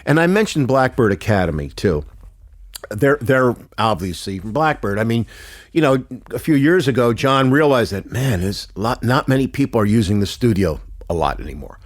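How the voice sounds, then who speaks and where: A man's voice: mid-range voice, sing-song, moderate pace, no room sound. A man, probably in his sixties, with a New York-area accent, chatting animatedly and emphatically as a podcast guest into a close studio mic. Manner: emphatic, talks with his hands. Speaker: podcast guest, recording engineer. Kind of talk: animated anecdotal conversation. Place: wood-panelled recording studio. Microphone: close studio microphone on boom.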